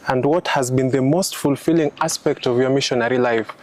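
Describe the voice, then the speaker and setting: A man's voice: medium pitch, fairly quick. A young man, a philosophy student, politely asking formal interview questions with occasional fillers.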